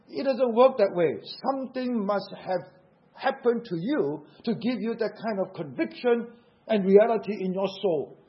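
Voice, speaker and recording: Singaporean man preaching a sermon, husky high voice, dull lo-fi recording, urgent and questioning. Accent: Singaporean English.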